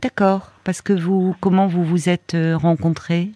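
Gentle female radio host, dry studio sound. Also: on the low side, little pitch movement, measured pace, no echo. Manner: gentle probing questions, rephrasing the caller's words. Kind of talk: call-in advice radio.

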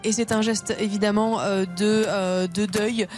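A woman's voice: medium pitch, steady tone, a little slow, darkish tone. A woman reporting live on a ceremony, speaking steadily with frequent hesitant fillers. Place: outdoors at a procession. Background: brass band music.

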